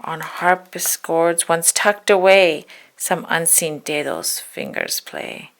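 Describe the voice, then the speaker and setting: A woman reading a spooky children's poem aloud in a dramatic, hushed storytelling manner, stretching words and dropping into whispers. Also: sits low, sing-song, slow pace, vocal fry. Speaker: storybook reader.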